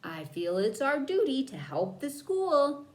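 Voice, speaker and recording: A woman's voice: sits high, sing-song, a little slow, voice pushed up. A woman storyteller voicing a daring, grumbly, scheming schoolgirl in a children's book.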